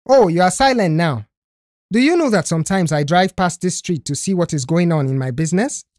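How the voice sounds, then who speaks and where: A woman's voice: lowish voice, expressive, fairly quick, a little mellow, dry recording, studio quality, natural voice. A woman voicing a stern, exasperated male boss who scolds with sarcastic questions in an audio story. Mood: sarcastic scolding.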